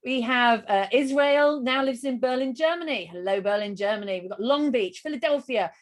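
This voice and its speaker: A middle-aged woman hosting a live webinar over a video call, enthusiastic and gushing as she rattles off quick lists. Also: a little high. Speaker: webinar host.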